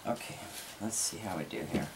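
A middle-aged woman chatting casually through a craft demonstration, low-key and half muttering to herself, recorded in a noisy room at home. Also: lively intonation, fairly quick, vocal fry. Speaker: soap maker and host. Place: indoors at a home work table. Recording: noisy room, household background noise.

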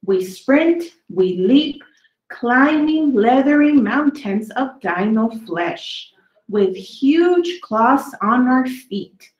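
A woman with a fairly high voice reads a children's picture book aloud. She takes it very slowly, stretching words out for young listeners. The recording is clearly echoey, as in a small bare room. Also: expressive, dull audio. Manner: slow, deliberate delivery with drawn-out words for young listeners. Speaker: children's story reader. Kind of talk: read-aloud of a children's dinosaur poem book.

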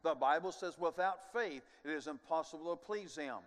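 An older man preaching a sermon as pastor on a large church stage, animated and moving, dropping at times to quiet asides. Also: a little high, moderate pace. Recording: long church hall reverb.

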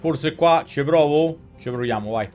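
A man's voice: fairly high pitch, unhurried, darkish tone. A man in his thirties with a Roman Italian accent, giving casual, colloquial live gameplay commentary into a headset. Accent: central Italian, Roman. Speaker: video game streamer. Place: indoor room, gaming setup. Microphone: headset microphone. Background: game music.

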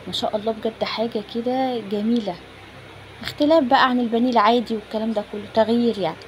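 Fast-talking Egyptian woman, noisy recording. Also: on the high side, fairly even intonation. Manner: chatty, informal, addressing viewers directly. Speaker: home cook presenting a recipe. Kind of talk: casual cooking tutorial narration. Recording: phone recording with clear background noise.

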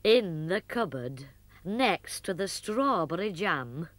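A woman's voice: lowish voice, very animated, slow, dry recording, pitched above the performer's own voice. Woman doing a patient puppet mother. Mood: patient motherly. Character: motherly furry puppet mum, busy tidying.

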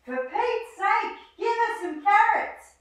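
A woman's voice: fairly high pitch, animated, unhurried, roomy sound. A woman doing a grumpy demanding wombat. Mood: exasperated. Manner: exasperated and indignant.

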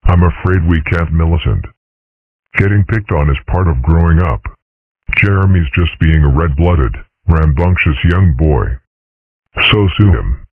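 A man voicing gruff, pompous male animals in a comic strip dub, with stilted, formal delivery. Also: sits low, expressive, unhurried, dry recording, heavily acted. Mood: smug. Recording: muffled, narrow phone-like sound.

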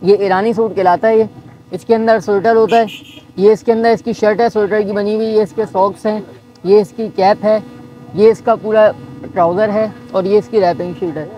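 A man, a shop owner calmly showing baby clothes in his market shop and quoting prices item by item. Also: sits high, moderate pace, soft background music, low-quality recording. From Pakistan. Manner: patient, listing items one by one. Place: busy market shop indoors.

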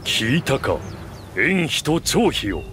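An actor playing a grave, honourable bearded warrior in a video game, with solemn, archaic formal speech. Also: male voice, medium pitch, very animated, takes it slowly, fairly bright tone, soft background music. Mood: grave, respectful. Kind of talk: video game cutscene voice acting.

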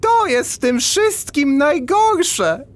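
A woman playing an excitable, agitated male ostrich who runs security in a children's audio drama. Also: high-pitched, slow, slight background noise, full character voice. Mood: flustered.

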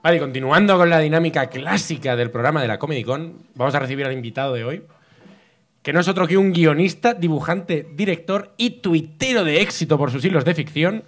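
Brisk, upbeat man co-host. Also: fairly high pitch. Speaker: podcast co-host. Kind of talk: casual chat and introductions.

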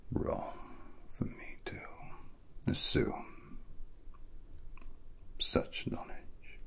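A menacing villain scientist played by a man murmurs in an extremely low, half-whispered voice. He speaks extremely slowly, with coldly mocking arrogance and long theatrical pauses, as in a close-miked ASMR roleplay. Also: creaky, heavily acted. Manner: slow, deliberate, threatening, theatrical pauses. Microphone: close ASMR microphone.

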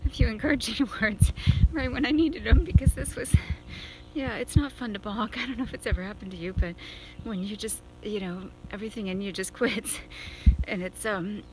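A woman's voice: mid-range voice, normal speed, a little mellow, slight background noise, poor audio. A middle-aged American woman, tired, talking casually as she records a trail vlog outdoors on a phone at arm's length. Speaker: thru-hiker vlogger. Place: outdoors on a wooded mountain trail.

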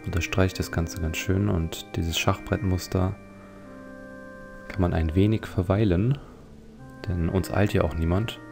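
A man's voice: deep voice, fairly even intonation, unhurried, gravelly, long pauses, over music. A man hosting a let's play, giving leisurely, reflective commentary on a video game.

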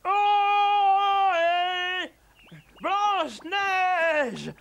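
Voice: extremely high pitch, very slow, a bit bright, exaggerated voice. An actor voicing a kindly old dwarf in a French cartoon dub, matter-of-fact and reassuring. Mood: cheerful. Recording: music under the voice.

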